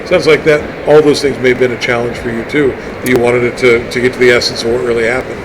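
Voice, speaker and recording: A man's voice: medium pitch, level tone, quick pace. A man hosting an interview, asking engaged, reflective follow-up questions. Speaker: interview host. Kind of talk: conversational interview. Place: noisy public venue. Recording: noisy background.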